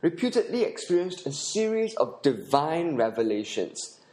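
Animated Singaporean man guiding a tour. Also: a little high, moderate pace. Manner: earnest, instructive, with occasional uh fillers. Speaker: podcast host and audio tour guide. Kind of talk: scripted audio tour narration.

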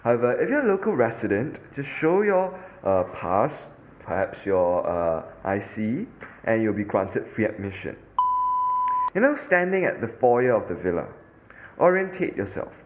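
A man's voice: medium pitch, moderate pace, narrow, tinny audio, a little hiss or hum. A man with a Singaporean English accent, narrating a scripted audio tour as a podcast host, in an instructive tone with the odd filler. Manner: instructive, lively, with occasional fillers.

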